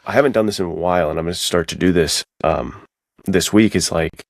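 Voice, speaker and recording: A man's voice: deep voice, brisk, vocal fry, no echo, studio quality. A man talking casually as a podcast co-host, slangy and self-deprecating. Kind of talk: casual chat.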